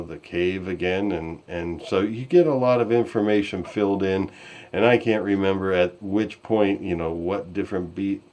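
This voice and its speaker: A man hosting a casual podcast discussion over an online call, speaking in a halting, scattered, self-deprecating way. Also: low-pitched, a little mellow. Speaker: podcast host. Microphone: online call microphone. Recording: voice call with intermittent distortion.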